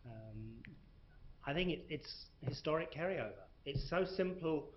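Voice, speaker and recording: A man's voice: on the high side, animated, measured pace, background noise. Muffled South African man on a panel. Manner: emphatic, restarts, hesitant fillers. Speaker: panel speaker, corporate reporting. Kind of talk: panel discussion. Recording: muffled lo-fi recording.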